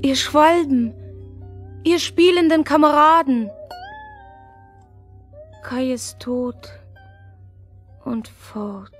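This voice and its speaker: A woman's voice: sits high, very slow, long pauses, faint music behind. An actress playing a sad, innocent little girl in a radio play, speaking earnestly and plaintively. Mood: grieving.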